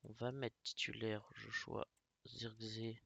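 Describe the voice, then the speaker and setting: A man from France with a cold talks through a football management game in a chatty, filler-heavy way. His voice is very bright and forward, and it sits in a dry, close room. He drops into low mutters while he clicks through menus. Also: completely flat delivery, slow pace, vocal fry, husky, no echo. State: has a cold. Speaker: gaming YouTuber. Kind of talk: casual gameplay commentary.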